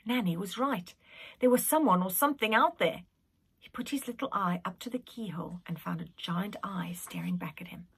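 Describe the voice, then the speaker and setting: A woman reading a children's story aloud in an expressive, dramatic manner. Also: medium pitch, normal speed, vocal fry, slightly rough. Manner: animated, dramatic.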